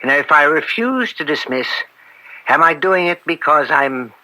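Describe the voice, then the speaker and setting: A man acting in an old-time radio drama as an upright, conflicted middle-aged American judge, speaking gravely and deliberately with theatrical weight. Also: a little high, lively intonation, sharp tone, low-quality recording. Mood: anguished. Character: anguished, upright judge and father, bitter and conflicted.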